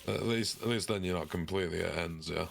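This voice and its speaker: A man with a gravelly voice chatting casually in character as a player in a tabletop roleplaying game. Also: low voice, fast, soft background music.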